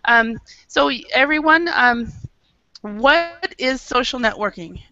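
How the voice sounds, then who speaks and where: An American woman leading an online English class, upbeat and encouraging, with frequent quick affirmations. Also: on the high side, lively intonation, sharp tone, no room sound, muffled recording. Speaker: English teacher. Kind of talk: online group English lesson.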